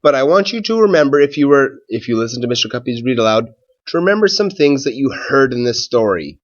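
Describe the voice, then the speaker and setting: A man who is a schoolteacher doing a read-aloud for children and talking to pupils in a measured, simple way. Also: medium pitch, very animated, highs cut off.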